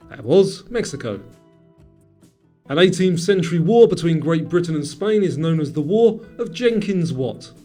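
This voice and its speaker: A man with a high voice presents a scripted quiz over background music. His intonation swings widely as he addresses the player, and each phrase is clearly enunciated in a presenter's style. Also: lively intonation, moderate pace, warm tone. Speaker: quiz show host.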